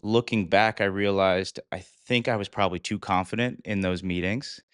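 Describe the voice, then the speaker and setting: A man in his thirties from Connecticut talks through his coaching career in an earnest, casual way. His voice is very deep and smooth. The recording is dry and close to the mic. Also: fairly even intonation, normal speed, a bit bright, dry recording. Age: 30s. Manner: earnest storyteller with frequent "uh" fillers. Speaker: guest, fitness coach. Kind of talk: casual interview.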